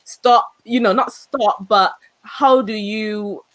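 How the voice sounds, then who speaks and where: A woman's voice: mid-range voice, fairly even intonation, slow, poor audio. A woman in her thirties from Barbados, talking conversationally about herself as a conference panelist. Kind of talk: conversational personal panel talk.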